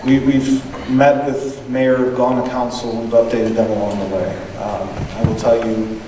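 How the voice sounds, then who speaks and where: A man, a school superintendent, answering residents' questions in a measured, diplomatic way, often restarting with repeated words. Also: medium pitch, little pitch movement. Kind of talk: answering questions at a public meeting. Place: large meeting hall. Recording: echoey hall, distant mic, background noise.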